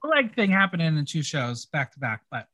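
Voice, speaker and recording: A man's voice: a little high, sing-song, a little fast, sharp tone. A man hosting a casual recap chat, with a dry manner and words repeated for emphasis. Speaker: podcast host recapping a TV episode.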